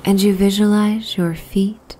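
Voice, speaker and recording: Soft mellow woman doing sleep meditation, really slow n breathy, faint ambient sound behind her. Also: medium pitch, level tone, slight background noise. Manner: soothing, hushed and breathy, unhurried. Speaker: sleep meditation host and narrator. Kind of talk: guided sleep meditation narration. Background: relaxing ambient soundscape.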